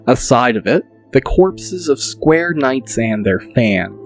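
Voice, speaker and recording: A man narrating a dramatic audiobook in a deliberate, measured manner. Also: medium pitch, expressive, soft background music.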